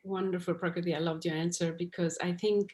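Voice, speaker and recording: Low-voiced woman hosting a panel. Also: steady tone, a little fast, darkish tone. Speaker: panel host. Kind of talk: moderating a discussion.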